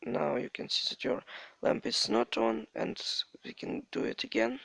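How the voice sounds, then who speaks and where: A man from Ukraine with a Ukrainian accent, a native Ukrainian speaker, talking viewers through a game build step by step in halting non-native English. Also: extremely high pitch, sing-song, gravelly, fairly bright tone, no room sound. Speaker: game tutorial creator. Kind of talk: step-by-step gameplay tutorial narration.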